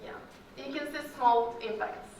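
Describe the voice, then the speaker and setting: A woman's voice: sits high, measured pace, gravelly, a little mellow, slight background noise. A young woman whose first language is Russian, answering student questions casually with many fillers. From Kyrgyzstan. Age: young adult. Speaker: alumni guest speaker. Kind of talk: Q&A talk to students. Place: large echoey hall. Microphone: far from the mic. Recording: roomy hall audio from far off.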